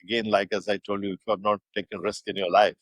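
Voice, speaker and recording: Older Indian-accented man, lowish voice, dry close sound, reflective guest. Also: little pitch movement, no echo. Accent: Indian English. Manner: measured, thoughtful, frequent fillers and restarts. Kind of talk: reflective interview answers.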